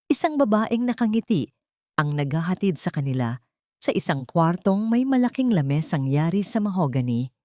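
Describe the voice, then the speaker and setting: A Filipino woman reads a scripted dramatic story. Her voice sits fairly low. The sound is dry, free of room echo. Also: sing-song, moderate pace, a little mellow. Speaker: story narrator. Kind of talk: scripted dramatic audiobook narration.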